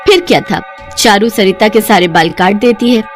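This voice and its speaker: A female narrator tells a scripted cartoon story. Her voice sits at a medium pitch, with background music running underneath. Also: moderate pace.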